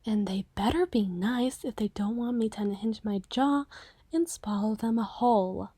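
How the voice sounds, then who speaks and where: Woman playing a soft, half-whispering, composed monster woman. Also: fairly high pitch, normal speed, no room sound, pitched below the performer's own voice, natural voice. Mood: calm menace. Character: composed, doting monster woman with quiet menace. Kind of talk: ASMR roleplay acting.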